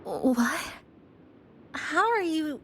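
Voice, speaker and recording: A woman's voice: sits high, big pitch swings, very slow, fairly bright tone, a little hiss or hum, exaggerated voice. A woman voicing a reserved, guarded young game heroine with a hesitant, understated delivery. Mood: puzzled. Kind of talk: anime game voice acting.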